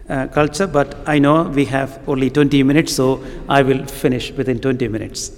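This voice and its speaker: A middle-aged man from Kerala, India, with a Malayali Indian accent and Malayalam as his first language, preaching a sermon as a guest, halting with frequent ums. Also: medium pitch. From Kerala, southern India. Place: church with long reverb. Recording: reverberant church hall.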